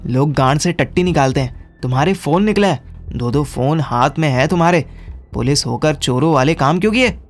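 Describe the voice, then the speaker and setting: A man voicing an excitable, indignant, foul-mouthed young friend in a comic audio drama, ranting and accusing. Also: fairly high pitch, moderate pace, faint music behind, pitched below the performer's own voice.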